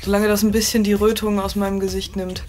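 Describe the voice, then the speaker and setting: A woman's voice: medium pitch, little pitch movement, fast talker, a little mellow, faint music behind. A young woman with a cold, chatting casually and self-deprecatingly while doing her make-up in a small bedroom, recorded on a webcam. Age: young adult. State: has a cold. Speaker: vlogger. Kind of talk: casual make-up vlog chat. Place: small cluttered bedroom, indoors. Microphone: webcam at desk.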